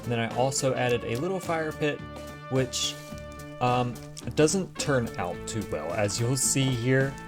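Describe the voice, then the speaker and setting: A man in the middle of his pitch range talks through a building session in a relaxed, meandering way, thinking out loud as he works. His voice has a slight rasp from allergies. Soft music plays faintly underneath. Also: unhurried. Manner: relaxed, easygoing self-talk while building. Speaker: gaming channel host. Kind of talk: casual gameplay commentary. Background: faint background music.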